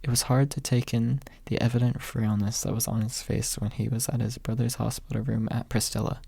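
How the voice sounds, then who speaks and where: A man reading a story softly as scripted ASMR narration, in a hushed, measured way with a husky, breathy voice. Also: medium pitch, little pitch movement, dry recording. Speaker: audiobook reader.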